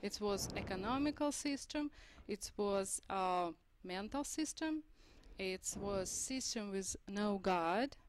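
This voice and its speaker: Woman with a Russian accent, halting. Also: medium pitch, animated, slight background noise. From Moldova. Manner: halting, searching for words. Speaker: guest. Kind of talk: interview.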